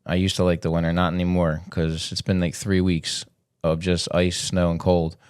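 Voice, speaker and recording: Congested man with a very low voice. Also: completely flat delivery, normal speed, a little mellow, clear, resonant tone, no echo, clean studio sound. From Philadelphia area. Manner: rambling, loose storytelling with many fillers. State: congested, sniffling. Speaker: podcast host. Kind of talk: casual monologue.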